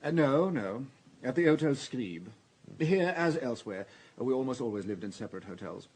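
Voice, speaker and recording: An actor playing a guarded, stiffly proper business advisor in a radio drama, speaking formally with defensive hesitations. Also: male voice, mid-range voice, expressive, moderate pace, darkish tone, slight background noise, natural voice.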